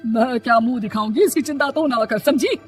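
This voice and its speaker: A woman's voice: on the high side, a little fast, over music, lightly acted. A woman voicing a nagging middle-aged mother-in-law in a cartoon, taunting and scolding. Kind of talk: cartoon dub acting.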